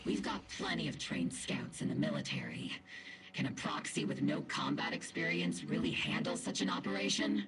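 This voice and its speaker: A woman's voice: lowish voice, huge pitch swings, normal speed. A woman playing a stern, skeptical military squad captain in a video game, curt, commanding and guarded. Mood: wry, businesslike. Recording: game music behind the voice.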